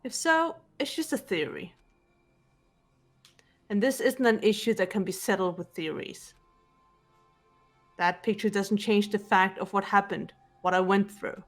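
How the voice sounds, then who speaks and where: A woman's voice: mid-range voice, faint music behind, natural voice. A woman voicing a composed, guarded, analytical teenage girl detective in a fan-dubbed game. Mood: firm, controlled. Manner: measured, reserved, matter-of-fact delivery. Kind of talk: fan voice acting of a visual novel game.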